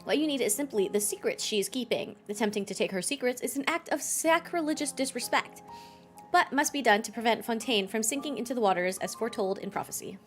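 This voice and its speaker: Woman, game streamer, highish voice, casual playful commentary, faint music behind. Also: animated, fast.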